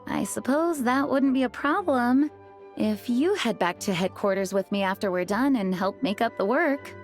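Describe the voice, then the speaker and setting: A woman voicing a dutiful, composed female knight commander in a video game, speaking in a measured, earnest way. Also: on the high side, lively intonation, faint music behind.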